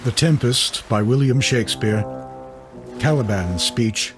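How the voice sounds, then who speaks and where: A man's voice: mid-range voice, animated, measured pace, music in the background. A man giving a scripted introduction to a dramatic reading as a storyteller. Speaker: storyteller, performer.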